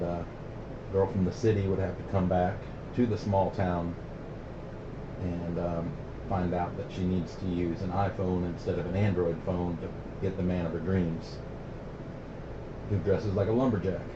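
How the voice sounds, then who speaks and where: A man's voice: low-pitched, little pitch movement, a little slow, long pauses. A middle-aged American man chatting casually on CB radio, plain-spoken and opinionated, using radio slang. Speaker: CB radio operator hosting a radio night. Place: CB base radio station. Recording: muffled, noisy, roomy CB radio audio.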